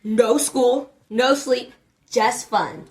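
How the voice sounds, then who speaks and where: A woman plays a teenage friend in an audio drama. Her voice sits a little high, and she is teasing and playfully insistent while reassuring. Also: drawn-out words, a little mellow. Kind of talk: amateur audio drama acting.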